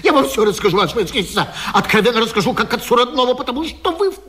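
A man's voice: very high voice, expressive, very fast, a bit bright. An actor playing a flustered, jealous husband in a radio comedy, stammering and babbling obsequiously as he protests and pleads. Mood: flustered pleading. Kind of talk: theatrical radio comedy acting.

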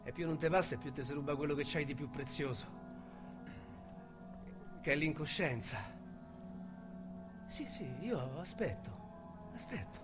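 An actor playing a cocky, work-shy Roman ex-convict in a radio drama, with a Roman accent and brash street talk that drops into quiet confession. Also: male voice, on the high side, expressive, a little slow, long pauses, loud music behind the voice. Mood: wistful. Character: Roman small-time crook, ex-convict, cocky and idle.

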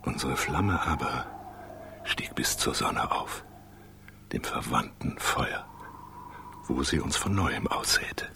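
Ein Schauspieler spielt in einem literarischen Hörspiel einen ernsten, feierlichen Baum, der gemessen und hauchig erzählt. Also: male voice, extremely low pitch, animated, takes it slowly, long pauses, in a whisper, full character voice. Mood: solemn. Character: solemn male talking tree of the sun, grave storyteller. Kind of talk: literary radio drama acting. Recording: studio radio play with music underneath.

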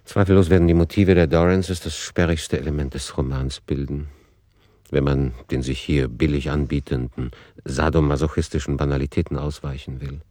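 Male radio play narrator: deep register, factual, analytical, no room sound. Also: fairly even intonation, moderate pace, mellow, no room sound, clean studio sound.

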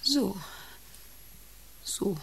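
An actress playing a prim, controlling factory boss with curt, imperious commands in a satirical radio drama. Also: female voice, drawn-out words, rough recording. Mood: cool, hesitant. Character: controlling, prim female factory boss, cool turning hysterical.

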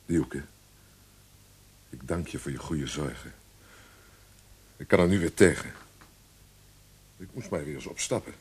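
A man's voice: extremely low pitch, measured pace, pauses often, a little mellow, slightly rough, heavily acted. An actor playing a heartbroken, guilt-ridden man with emotional, self-pitying delivery in a radio play. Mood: weary. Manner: emotional, self-pitying, swinging from subdued to agitated. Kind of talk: Dutch radio play acting. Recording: hissy old radio play recording.

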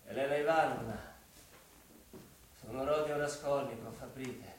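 An actor playing a tormented, proud young former student, brooding and obsessive, in Italian radio theatre. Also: male voice, mid-range voice, unhurried, lightly acted. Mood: agitated. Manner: brooding, obsessive, repeats words. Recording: echoey room, distant mic, noisy.

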